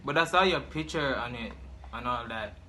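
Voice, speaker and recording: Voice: medium pitch, lively intonation, moderate pace. A young adult with a Jamaican accent, chatting casually and jokingly with friends during prank calls. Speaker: prank caller. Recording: noisy home recording, ambient noise.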